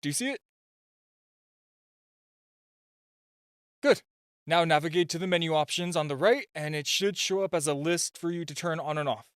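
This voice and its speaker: A man's voice: fairly high pitch, clean tone, no echo, clean studio sound, lightly acted. A man playing a super-shy spy gadget guy in a romantic comedy audio roleplay, nervous and stammering, easily flustered. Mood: awkward, flustered. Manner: nervous, stammering, halting, self-interrupting.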